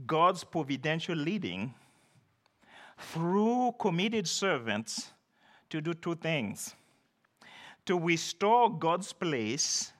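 An older man whose first language is French, delivering a sermon. He speaks slowly and deliberately, his fairly high voice rising and falling expressively as he leans on key words. The tone is bright and forward. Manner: deliberate, emphatic preaching with occasional self-deprecating laughs. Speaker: pastor.

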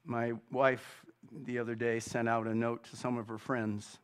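A middle-aged man preaching a sermon, slow and deliberate, building to loud, fervent peaks before dropping back down. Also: mid-range voice, flat delivery, clear, resonant tone. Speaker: pastor.

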